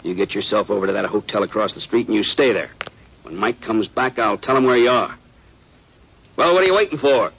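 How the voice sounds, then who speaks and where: An actor playing a weary, blunt small-town deputy in an old-time radio western, with a folksy, sarcastic way of talking. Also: male voice, lowish voice, brisk, gravelly, a bit bright, dull audio. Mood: scornful, stern. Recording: noisy vintage radio recording.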